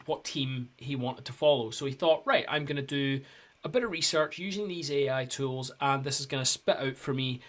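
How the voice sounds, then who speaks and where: A man's voice: medium pitch, moderate pace. A man co-hosting a hockey podcast, telling a story in a rambling, casual way with lots of ums and restarts. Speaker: podcast co-host. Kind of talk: casual chat.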